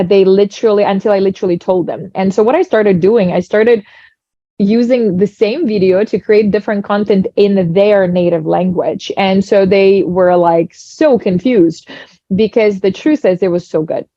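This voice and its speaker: A woman's voice: medium pitch, moderate pace, dark tone, no room sound. A woman from Ukraine with a Ukrainian accent, a guest explaining her work enthusiastically in a casual interview. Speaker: guest, tech founder. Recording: dull dry lo-fi audio.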